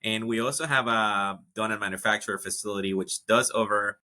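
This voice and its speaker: A low-pitched man with a bright, forceful voice. He talks as an interview guest, upbeat and loose, often restarting his sentences and filling pauses with "uh" and "um.". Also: fairly even intonation. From Ecuador. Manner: many fillers and restarts, upbeat storytelling. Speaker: guest, HR executive. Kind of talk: casual interview talk.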